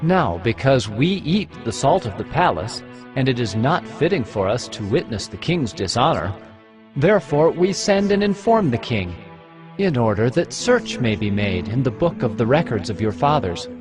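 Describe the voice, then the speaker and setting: Male actor as ancient provincial official, formal and accusing, mid-pitched, scripture drama with prominent music. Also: big pitch swings, normal speed, close to an everyday voice. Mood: urgent, accusing. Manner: formal, declamatory. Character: accusing provincial official writing to his king. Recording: music behind the voice.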